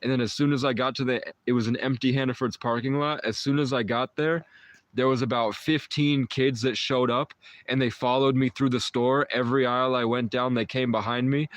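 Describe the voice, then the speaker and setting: A young man in his twenties hosts a loose livestream, his voice sitting at an ordinary middle pitch. He drifts from thought to thought, cutting himself off and chuckling. The sound is dry and close, with no room around it. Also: fairly even intonation, fairly quick, no room sound. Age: 20s. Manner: meandering, mystical talk with self-interrupting restarts and chuckles. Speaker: livestream host. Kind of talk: rambling livestream chat with viewers.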